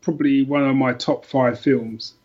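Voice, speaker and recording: A man's voice: mid-range voice, steady tone, normal speed, muffled recording. A middle-aged man chatting casually as a podcast guest, enthusiastic and rambling, often repeating himself. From London, England. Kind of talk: casual chat, telling personal stories.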